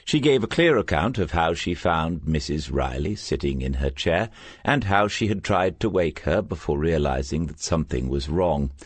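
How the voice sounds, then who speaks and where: A man narrating a crime novel as an audiobook, with measured, scripted storytelling. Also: a bass voice, animated, no echo.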